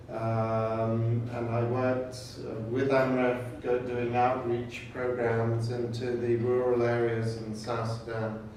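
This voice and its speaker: A man's voice: mid-range voice, darkish tone, far from the mic, a little hiss or hum. Older Kenyan man in an echoey room. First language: Swahili. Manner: measured, speaks in short phrases with hesitations. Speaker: program director welcoming students. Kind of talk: informal welcome talk. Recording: echoey room recorded from afar.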